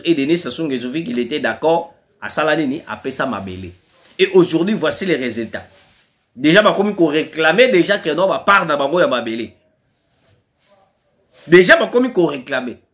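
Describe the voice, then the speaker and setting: A Congolese-accented man delivers fiery political commentary with a high voice that leaps up and down. He presses his case hard and repeats key lines for emphasis. He sounds like a commentator addressing his online audience. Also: a bit bright. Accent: Congolese French.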